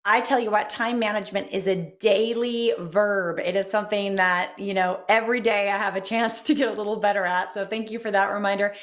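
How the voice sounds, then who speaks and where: A woman co-hosting a TV talk show, bubbly and friendly, full of warm affirmations. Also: medium pitch, steady tone, fairly quick, few pauses.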